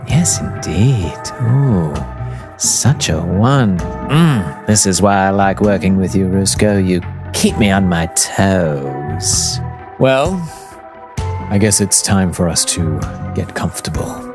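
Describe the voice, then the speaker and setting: A man acts out a courtly fey gentleman for a fantasy game, sly and grandly polite. The voice is low and unhurried, with flowery phrasing and savoured words, over music. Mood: amused, approving. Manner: formal, flowery, drawn-out words.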